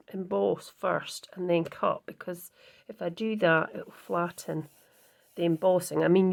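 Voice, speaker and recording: A woman hosting her card-making channel, chatting casually through a crafting tutorial with a croaky voice, rambling and correcting herself as she goes. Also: fairly low pitch, a little mellow. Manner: rambling, self-correcting, many ums. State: croaky, husky voice.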